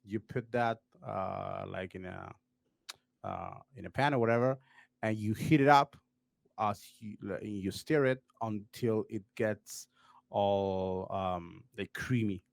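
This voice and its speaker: A man's voice: on the low side, steady tone, takes it slowly, vocal fry, no echo. A man from Uruguay, a podcast guest chatting casually, rambling with plenty of "like" and "uh". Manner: rambling, heavy on "like" and "uh" fillers.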